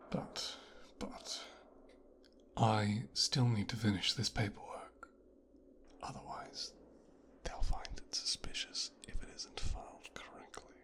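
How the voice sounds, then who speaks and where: A man acts out a young mafia heir in an ASMR roleplay, possessive and coldly calculating. His voice is low and breathy, often sinking to a whisper. He takes his time, musing in slow, deliberate phrases with menacing pauses. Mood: scheming, murmuring. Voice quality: hushed, breathy, half-whispered.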